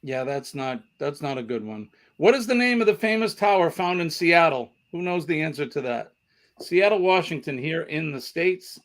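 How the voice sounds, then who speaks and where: A man's voice: fairly high pitch, animated, moderate pace. A man hosting a casual live trivia stream, chatting conversationally and putting questions to viewers. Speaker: trivia livestream host. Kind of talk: casual livestream chat and quiz hosting.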